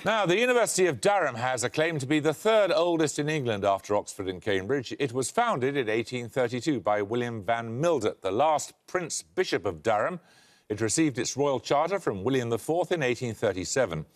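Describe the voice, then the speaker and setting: Dry, animated male quiz host. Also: mid-range voice, fairly quick, a faint crowd. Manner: dry, wry delivery with lively intonation. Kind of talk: scripted quiz presenting. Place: television studio.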